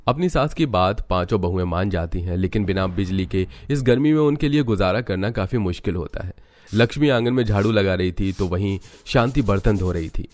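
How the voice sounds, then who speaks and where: A man's voice: low-pitched, expressive, a little fast, a little mellow. A man narrating a scripted cartoon family story.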